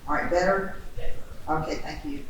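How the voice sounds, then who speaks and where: A woman hosting a local business event, talking loudly and in a friendly, slightly rambling way. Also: low voice, moderate pace. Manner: loud, friendly, lightly rambling. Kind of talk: welcoming remarks at a community business event. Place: new school auditorium. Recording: echoey auditorium from far off, noisy.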